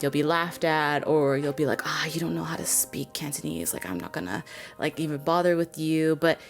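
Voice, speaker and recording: A Canadian artist talking as an interview guest in a laid-back, chatty way, laughing easily. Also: female voice, low-pitched, normal speed, faint music behind. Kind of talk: casual interview conversation.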